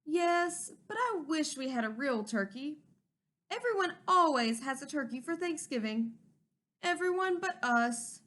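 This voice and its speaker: Woman as a high-voiced, gracious storybook moose wife. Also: expressive, measured pace, fairly bright tone. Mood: wistful. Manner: gushing, gracious. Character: sweet, hospitable female moose wife in a children's storybook.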